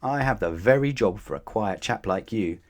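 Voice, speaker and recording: A kindly, cheerful fellow from a children's story, voiced by a man reading aloud. He is welcoming and encouraging, with a lively, swinging pitch. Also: moderate pace, lightly acted. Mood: kindly reassuring. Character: friendly, kindly, cheerful male storybook host.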